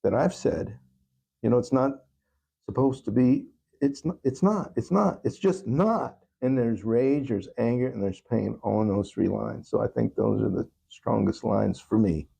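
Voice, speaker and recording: A man's voice: mid-range voice, measured pace, gravelly, warm tone, breathy edge. A man speaking earnestly as an interview guest, reflecting on his songwriting and repeating phrases for emphasis. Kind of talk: reflective interview talk about own songwriting.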